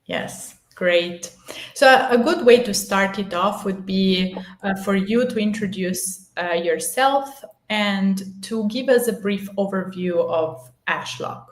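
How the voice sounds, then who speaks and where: Slow, measured woman host in an echoey room. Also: mid-range voice. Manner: measured, with ums between phrases. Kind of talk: hosting a live audio interview.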